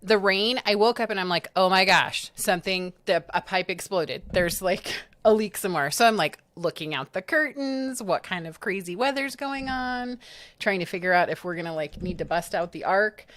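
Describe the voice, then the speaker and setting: A woman's voice: mid-range voice, expressive, normal speed, fairly bright tone, slight background noise. A woman chatting on a livestream, telling stories in a bubbly way with lots of fillers. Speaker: livestream co-host. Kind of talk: casual livestream chat.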